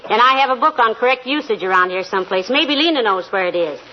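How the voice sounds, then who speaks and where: An actress playing a patient, fondly chiding housewife in 1940s radio comedy style. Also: female voice, on the high side, lively intonation, quick pace, lightly acted. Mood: amused, helpful. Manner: fond, chiding, corrective. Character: patient, gently correcting American housewife. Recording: muffled, noisy vintage radio studio with audience.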